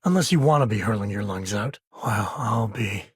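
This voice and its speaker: A husky, easygoing space-frontier ranger. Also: male voice, on the low side, expressive, moderate pace, no echo, studio quality. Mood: earnest, dry. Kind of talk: video game voice acting.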